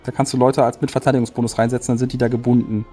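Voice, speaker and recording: A man's voice: mid-range voice, level tone, racing, mellow, slightly rough, soft background music. A man playing a tabletop game, skeptically arguing strategy and explaining with restarts. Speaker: tabletop player.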